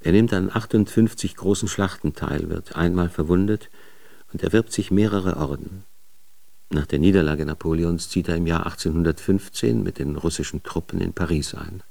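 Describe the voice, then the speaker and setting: A man's voice: sits low, normal speed, gravelly, dark tone. A man reading scripted biographical narration in a radio drama, in a factual, matter-of-fact manner.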